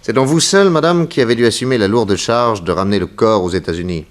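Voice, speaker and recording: An actor playing a shrewd, commanding private detective in a 1960s French radio drama, with an authoritative, incisive delivery. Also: male voice, fairly low pitch, fast talker, lightly acted. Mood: probing, courteous.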